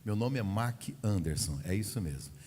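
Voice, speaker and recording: A man's voice: fairly low pitch, animated, normal speed, a little mellow. A man in his forties from Goiás, Brazil, preaching as a visiting pastor at a family service, chatty and humorous, acting out dialogue. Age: 40s. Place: church sanctuary. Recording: long hall reverb in a church.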